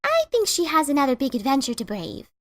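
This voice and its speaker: A woman's voice: sits high, huge pitch swings, fast, no echo. A woman voicing a guarded, curt mother pony mare in a cartoon fan story reading. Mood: pointed.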